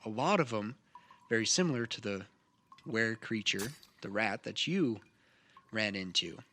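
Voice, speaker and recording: A man narrating a tabletop game as game master, describing scenes in a halting way. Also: fairly low pitch, expressive, sharp tone. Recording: noticeable background noise.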